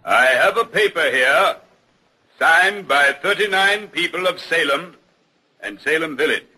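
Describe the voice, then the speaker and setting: Slow, husky village petitioner. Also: male voice, a little high, expressive, pushed and bright, heavily acted, low-quality recording. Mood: solemn, formal. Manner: deliberate, formal. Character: solemn village man reading a petition to the court.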